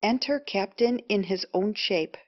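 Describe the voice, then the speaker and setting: A woman's voice: mid-range voice, unhurried. A woman narrator reading stage directions for a scripted play. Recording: muffled lo-fi audio.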